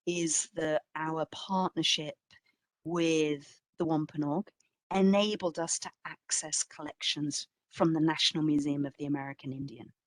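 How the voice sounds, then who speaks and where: A woman speaks as a curator presenting a history talk in a very dry, close acoustic. Her pace is markedly slow and her pitch sits a little low. She is reflective yet emphatic, putting questions to her listeners as she goes. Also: dry recording. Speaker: museum curator giving a talk. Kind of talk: public history lecture, semi-scripted.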